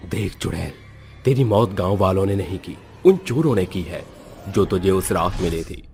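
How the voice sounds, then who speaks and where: A man's voice: deep voice, lively intonation, normal speed, gravelly, darkish tone, faint music behind. A man voicing a stern, confident village holy man in a cartoon horror story, commanding and solemn.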